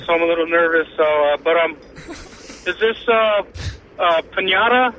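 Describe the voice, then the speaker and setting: A man's voice: a little high, moderate pace, highs cut off, a little hiss or hum, natural voice. A man making a prank call to a radio show, stammering and acting like a nervous first-time caller.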